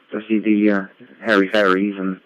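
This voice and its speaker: A man's voice: little pitch movement, brisk. A man chatting casually as an amateur radio operator, rambling along with frequent tag questions over a radio link. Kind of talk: casual ham radio chat. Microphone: radio link played through a computer. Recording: muffled low-fi digital radio link.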